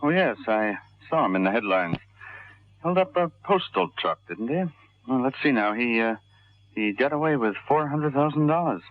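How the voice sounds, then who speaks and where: An actor in an old radio drama playing a patient, soothing psychiatrist who asks measured, mild questions. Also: male voice, mid-range voice, animated, no echo, lightly acted. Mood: musing, curious. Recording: muffled vintage radio recording, organ music behind.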